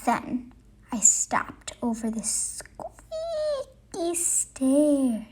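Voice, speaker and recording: A woman reading a children's story aloud, narrating expressively with dramatic, deliberate phrasing. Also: sits high, wildly swooping pitch, darkish tone. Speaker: storybook reader.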